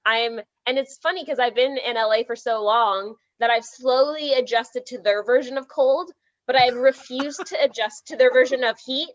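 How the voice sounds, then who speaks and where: A Canadian woman, a filmmaker guest on a podcast call, talking in an animated way, laughing often and saying "like" a lot while hot and sweating. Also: on the high side, normal speed, lo-fi sound. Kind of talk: casual remote interview chat.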